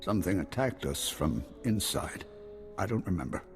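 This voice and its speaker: An actor playing an anxious, proud old hermit farmer and former guard in a video game, hesitant and halting. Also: male voice, low voice, expressive. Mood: haunted. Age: older. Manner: hesitant, halting, repeating himself. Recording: long hall reverb, music behind.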